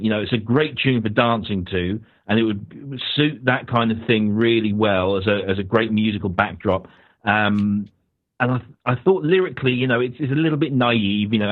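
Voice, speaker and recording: British man on a tinny phone line. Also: on the low side. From United Kingdom. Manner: rambling, hedging with many fillers and restarts. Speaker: guest panelist. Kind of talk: casual music review on a podcast.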